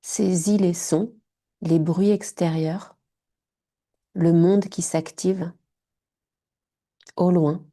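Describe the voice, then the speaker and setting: A woman guiding a yoga nidra relaxation in short, hushed, soothing phrases. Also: lowish voice, steady tone, very slow, long pauses. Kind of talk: guided meditation.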